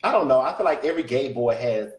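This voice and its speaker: A man with a deep voice, a guest musician chatting candidly in a casual interview and laughing often. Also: animated, fast talker, reverberant.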